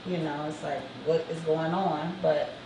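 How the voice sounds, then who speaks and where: A woman's voice: low voice, fairly even intonation, a little slow. A woman hosting a casual talk show, speaking in an encouraging, affirming way. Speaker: host. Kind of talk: casual chat. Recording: echoey room heard from a distance, with background noise.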